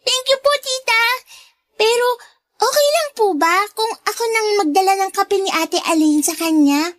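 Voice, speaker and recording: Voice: very high-pitched, moderate pace, no room sound, clean studio sound. A performer voicing a sweet, timid and polite young girl in a radio drama. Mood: eager, polite. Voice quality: bright, childlike. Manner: polite, deferential.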